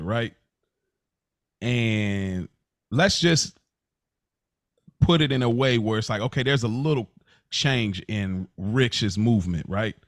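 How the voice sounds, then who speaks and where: A man's voice: mid-range voice, no room sound, clean studio sound. A man hosting a casual podcast chat, inquisitive, talking his way through hypothetical questions for his guests. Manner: inquisitive, poses hypothetical scenarios. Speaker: podcast host.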